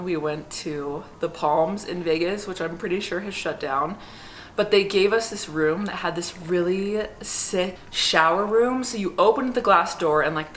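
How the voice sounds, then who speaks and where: A woman's voice: on the low side, fairly bright tone, slight background noise. A young woman in her twenties giving a casual, chatty walkthrough of her half-built house, cheeky and profane. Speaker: vlogger giving a house tour. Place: unfinished house under construction, indoors. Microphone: small clip-on mic. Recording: echoey framed-out rooms with music behind.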